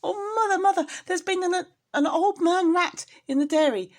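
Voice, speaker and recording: A woman voicing a frightened little kitten in a children's storybook, in a small, high, panicky voice. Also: moderate pace. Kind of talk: children's storybook character reading.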